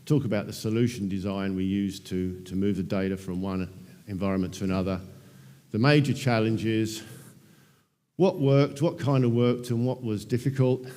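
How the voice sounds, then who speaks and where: A man in his fifties with an English accent, giving a relaxed technical conference talk full of ums and dry self-deprecating asides. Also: fairly low pitch, moderate pace. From England. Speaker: conference presenter. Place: large conference hall. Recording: long hall reverb.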